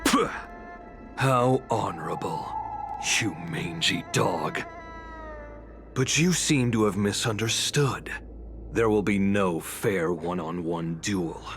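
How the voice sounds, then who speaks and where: An actor voicing a stern soldier who speaks with measured contempt. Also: male voice, deep voice, animated, music in the background.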